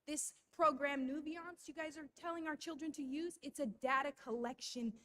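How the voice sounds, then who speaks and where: A woman's voice: sits high, fairly even intonation, moderate pace, a bit bright. A woman giving a public comment at a school board meeting, speaking in a conspiratorial tone and tossing in rhetorical tag questions.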